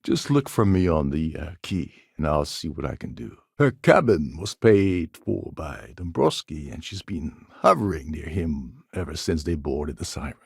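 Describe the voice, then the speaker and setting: A man playing a gruff, sardonic space pirate con man in a video game, with a gravelly, husky voice and a wry, scheming manner. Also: a bass voice, animated, measured pace, few pauses, a little mellow, dry recording, studio quality, full character voice. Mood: sly, measured. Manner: wry, sarcastic, world-weary.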